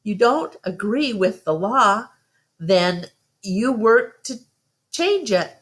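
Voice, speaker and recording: A woman's voice: mid-range voice, animated, takes it slowly. Stern country father, voiced by a woman reader. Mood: firm. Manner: sharp, admonishing, folksy phrasing. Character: stern, principled country father, sharp with his son.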